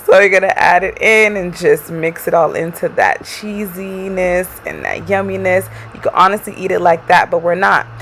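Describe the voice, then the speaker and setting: Upbeat, chatty woman with a lowish voice. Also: fairly even intonation, moderate pace, hardly any pauses, a bit bright, faint music behind. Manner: chatty and upbeat, laughs often; casual, folksy slang. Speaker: cooking show host. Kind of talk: recipe voice-over narration.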